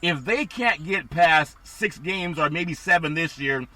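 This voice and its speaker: A man hosting a casual sports talk show, his voice a little scratchy and raspy, rambling through teams before turning to his co-host. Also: on the high side, expressive, a little slow, sharp tone. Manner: rambling, asks co-host for thoughts.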